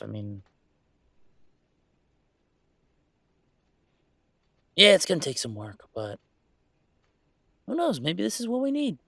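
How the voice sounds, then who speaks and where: Man acting a creaky-voiced, hesitant young werewolf boyfriend. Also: mid-range voice, dry recording. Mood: hopeful. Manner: halting, rambling, conversational. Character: young male werewolf boyfriend, hesitant, affectionate, weighing a move. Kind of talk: intimate audio roleplay acting, one-sided conversation.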